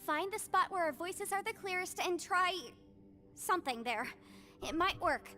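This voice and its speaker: A woman voicing an earnest, urgent young female adventurer in a fantasy video game, speaking crisply and emphatically. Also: very high-pitched, lively intonation, normal speed, pushed and bright, faint music behind, exaggerated voice. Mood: urgent, explaining.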